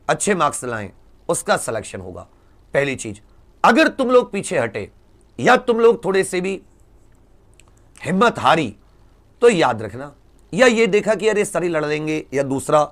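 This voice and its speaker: A man, a coaching teacher addressing students directly, emphatic and exhorting, with rhetorical questions and repeated phrases. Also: fairly high pitch.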